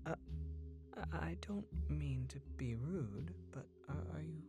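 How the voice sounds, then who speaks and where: A performer voicing a polite, flustered restaurant host in an audio roleplay, halting and apologetic. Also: medium pitch, lively intonation, creaky, over music, voice pushed up. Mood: confused, apologetic. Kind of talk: audio roleplay addressed to the listener.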